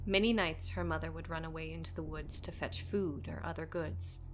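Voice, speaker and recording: A woman narrating a scripted horror story as a storyteller, dramatic and deliberate, dropping at times toward a whisper. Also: low voice, fairly even intonation, a little hiss or hum.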